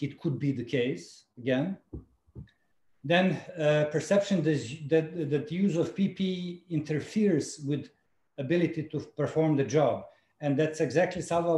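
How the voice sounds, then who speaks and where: A middle-aged man speaking as a surgeon on a video call panel, measured and hesitant, with many fillers. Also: fairly high pitch, mellow. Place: home study with bookshelves. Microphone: video call, computer mic. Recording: echoey room on a video call.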